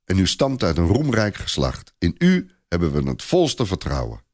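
A man's voice: deep voice, huge pitch swings, moderate pace. A man voicing a haughty, self-satisfied French viscount in a story, with a proclaiming manner. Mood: solemn, flattering.

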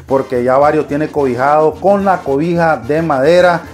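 Excited Nicaraguan bull riding announcer. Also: male voice, a little high. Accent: Nicaraguan Spanish. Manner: rapid-fire calls rising to shouts. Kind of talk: excited live sports commentary.

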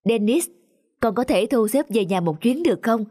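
A woman voicing a worried ageing farmer father in a cartoon, speaking gravely and coaxingly. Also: on the high side, expressive, normal speed, warm tone, no echo, clean studio sound. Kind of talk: cartoon dubbing. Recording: music under the dialogue.

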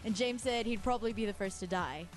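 A woman's voice: mid-range voice, fast talker, faint music behind. Woman radio host, casual. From Australia. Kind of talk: casual radio chat, recapping an interview.

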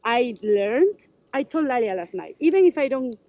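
Animated woman chatting outdoors. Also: a little high, moderate pace, no echo, dull audio. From Spain. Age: 20s. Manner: chatty, animated, gestures while talking. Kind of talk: casual chat while sightseeing. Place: outdoors at hilltop ruins. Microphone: wide-angle action camera held nearby.

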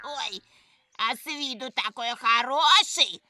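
Timid, naive cartoon critter, piercingly high and bright, drawn-out lines. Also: female voice, soft background music, exaggerated voice. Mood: disappointed. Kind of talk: animated cartoon acting.

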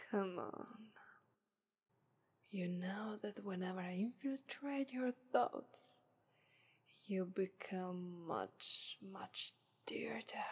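A woman's voice: mid-range voice, darkish tone, slightly rough, exaggerated voice. A woman voicing a confident, haughty female demon of lust in an ASMR roleplay, whispering and teasing with an Italian accent. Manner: whispery, teasing, purring "ara ara".

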